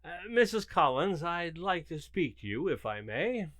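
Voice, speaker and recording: Man acting animated, exasperated family man. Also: on the high side, no echo. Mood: strained politeness. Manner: formal, halting, exasperated. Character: exasperated, flustered but mannerly husband and father. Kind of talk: old-time radio comedy acting.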